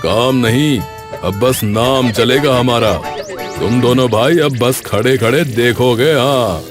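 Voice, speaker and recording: A man playing a stingy, boastful village vegetable seller in a comic cartoon, sarcastic and gruffly dismissive. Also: mid-range voice, slow, music in the background, natural voice.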